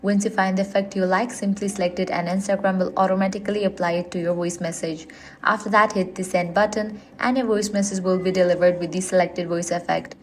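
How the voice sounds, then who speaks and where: A woman's voice: mid-range voice, flat delivery, a little fast, a little hiss or hum. A woman reading a scripted how-to guide in an instructional, step-by-step manner. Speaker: tutorial narrator.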